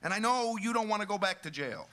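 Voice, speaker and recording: High, animated pushy parole officer. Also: male voice, quick pace. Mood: officious. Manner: wheedling, self-important. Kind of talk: stage play performed for radio.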